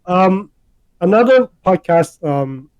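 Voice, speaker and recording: A man chatting on a podcast, his voice sitting a little higher than most men's. He stumbles and repeats himself as he talks. The sound is close and dry, with no room echo. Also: animated, clear, resonant tone, dull audio. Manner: halting, repeats words and fills with um and uh. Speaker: podcast co-host. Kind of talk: casual chat.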